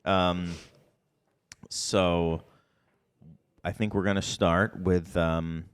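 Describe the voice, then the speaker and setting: A man's voice: sits low, level tone, extremely slow, smooth. A middle-aged man co-hosting a podcast, chatting casually and rambling with ums and restarts. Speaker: podcast co-host. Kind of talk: casual chat. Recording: reverb on the voice.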